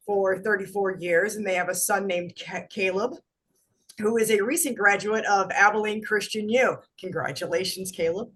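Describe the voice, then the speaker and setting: A woman's voice: medium pitch, fairly bright tone, breathy edge. Woman podcast host, stuffy nasal voice, chatty and bubbly, video call. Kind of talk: podcast interview.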